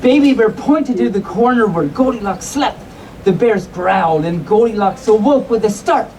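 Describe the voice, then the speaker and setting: A woman's voice: medium pitch, expressive, normal speed, a little mellow. A woman reading comic bedtime stories to a live audience, playful and often interrupting herself with chatty asides. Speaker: live storyteller. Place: hotel convention room before a live audience. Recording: distant mic, noisy audience around.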